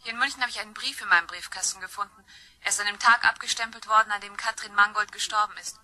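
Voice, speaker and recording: A woman's voice: mid-range voice, fairly even intonation, fast, bright, forceful tone. An actress playing a composed, earnest woman in a German crime radio play. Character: composed, earnest woman, murder victim's daughter.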